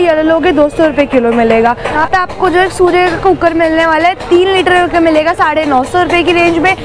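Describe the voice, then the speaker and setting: A young woman vlogging through a busy street market, chatting while she points out goods, reads off prices and asks the sellers. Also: extremely high pitch. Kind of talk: market walkthrough vlog. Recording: noisy crowded street market. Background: crowd chatter and street noise.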